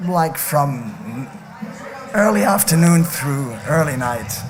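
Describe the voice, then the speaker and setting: A man interviewing bands at a festival, who stammers and talks in quick bursts, his voice strained from yelling. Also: fairly high pitch, expressive. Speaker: festival interviewer and crowd entertainer. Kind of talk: casual festival chat. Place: festival backstage area. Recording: loud music behind.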